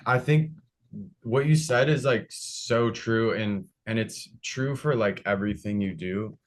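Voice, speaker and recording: A man's voice: lowish voice, measured pace. A young man co-hosting a podcast, chatting in a rambling, agreeable way with plenty of fillers. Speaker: podcast co-host. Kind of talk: casual podcast chat.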